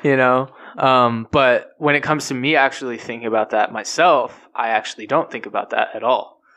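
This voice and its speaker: A man's voice: medium pitch, moderate pace. A man in casual chat among friends, talking in a loose, rambling way full of fillers. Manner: relaxed and rambling, full of fillers, laughs at own stories.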